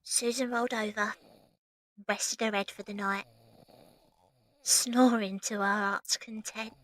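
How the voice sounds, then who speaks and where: A woman's voice: mid-range voice, fairly even intonation, unhurried, a bit bright, a little hiss or hum, lightly acted, low-quality recording. A woman playing a young working-class match girl and maid, cheeky yet unsure of herself, in an audio drama. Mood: reflective narration. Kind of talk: audio drama acting and narration.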